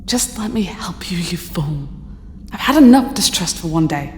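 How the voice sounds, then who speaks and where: An actress plays a sharp-tongued, proud young woman with psychic powers. Her lowish voice is curt and assertive, in an audio drama with some noise behind it. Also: animated, normal speed, lightly acted. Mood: irritated, defiant.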